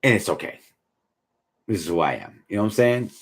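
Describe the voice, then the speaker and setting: A tired man in his forties talks loosely through a live stream, his husky voice swinging up and down as he reads out comments. He sounds laid-back and slangy, yawning through it all. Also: fairly low pitch, a little fast. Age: 40s. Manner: laid-back slangy, drifts from mumbling to excited. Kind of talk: casual live chat reading comments.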